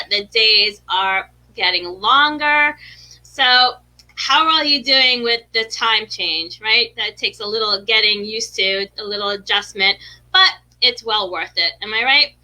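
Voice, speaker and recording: A woman's voice: a little high, pushed and bright, rough recording. A woman with a New York accent, hosting a live stream and teaching viewers about gemstones in a chatty way, often asking "right?". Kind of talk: live-streamed educational talk.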